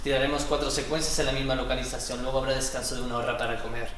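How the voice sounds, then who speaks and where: Man acting as earnest foreign student, middle pitch, curt, businesslike, mockumentary dialogue, heavily echoing room, faint music. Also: little pitch movement, very fast, fairly bright tone, slight background noise, close to an everyday voice.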